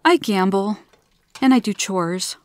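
A woman's voice: medium pitch, lively intonation, measured pace, no echo, lightly acted. A woman voicing a friendly, candid, easily tired woman with an earnest, emphatic manner in a storybook. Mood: candid, upbeat. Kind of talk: storybook character voice.

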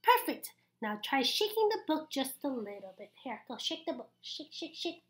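High, animated young woman reading to children. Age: young adult. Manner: animated, exaggerated, encouraging, with audible gasps and pauses. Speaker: story-time host reading a picture book aloud. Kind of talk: interactive read-aloud for young children. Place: small indoor room.

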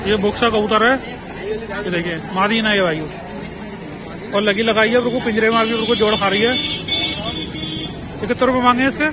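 A man with a Western Uttar Pradesh Hindi accent talks in brisk, salesy patter, his voice sitting fairly high. He is recorded on a phone while walking through an open-air market, with chatter and traffic all around him. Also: steady tone. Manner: brisk promotional patter, repeatedly urging viewers. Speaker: vlogger touring a pigeon market. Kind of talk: walking market vlog, talking to sellers and viewers. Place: busy outdoor street market. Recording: noisy outdoor market crowd. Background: crowd chatter and traffic.

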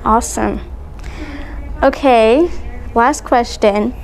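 A woman's voice: fairly high pitch, takes it slowly, poor audio. A woman vlogging and asking interview questions in a casual, chatty style.

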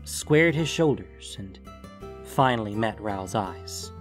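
A man's voice: lowish voice, lively intonation, slow pace. A man reading scripted fiction as an audiobook-style narrator. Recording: music bed under the voice. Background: guitar music.

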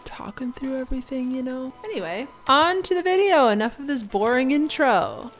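A woman's voice: high voice, animated, normal speed. A woman giving a casual, informal and self-deprecating commentary as a gaming video creator. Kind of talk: casual intro and gameplay commentary. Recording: voice over game music. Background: game music.

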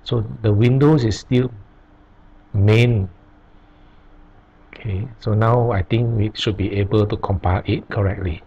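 A man teaching programming over a screen recording, explaining each step slowly with pauses. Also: on the low side, fairly even intonation, warm tone, breathy edge. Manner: slow, halting explanation with pauses. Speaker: programming tutor. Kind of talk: screen-recorded coding tutorial. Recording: dull lo-fi recording.

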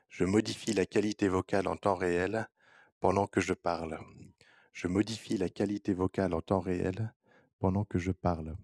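Young man, mellow dark timbre, measured demo delivery, vocoder-modified voice, very dry headset sound. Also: low voice, dry recording. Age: young adult. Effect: real-time vocoder voice modification. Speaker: demonstrator of voice software. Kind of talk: scripted repeated demonstration sentence. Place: small office or lab room, indoors. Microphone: headset microphone.

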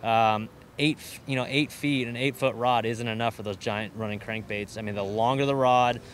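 A man explains his reasoning at an even pace. He is a professional angler answering interview questions. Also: mid-range voice, moderate pace, slight background noise.

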